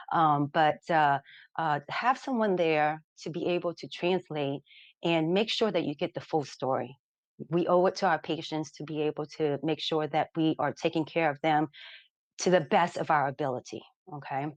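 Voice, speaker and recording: A woman chiropractor, Vietnamese by first language, talks through practice advice in a low-pitched voice. She stumbles often on fillers and repeated words but stays earnest. The sound is dry and close. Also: dry recording. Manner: many fillers and restarts, earnest and advising. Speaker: chiropractor presenting. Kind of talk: unscripted webinar talk.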